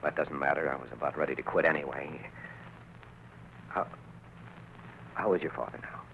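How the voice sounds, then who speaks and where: A man's voice: very deep voice, normal speed, creaky. An actor playing an earnest, decent young newspaperman in 1940s radio drama style. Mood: subdued concern. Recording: tinny, noisy old radio recording.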